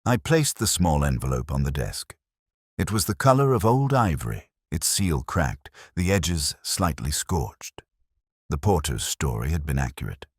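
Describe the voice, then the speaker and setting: A man narrating a scripted first-person detective story in a measured, reflective, literary manner. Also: very deep voice, very animated, husky, no echo, clean studio sound. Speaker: audio drama narrator.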